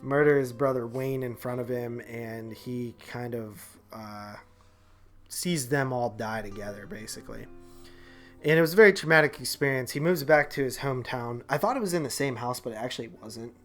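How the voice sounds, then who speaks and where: A man's voice: mid-range voice, normal speed, fairly bright tone. Man chatting casually over music. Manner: rambling, slangy delivery. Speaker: podcast co-host. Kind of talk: casual movie-review chat.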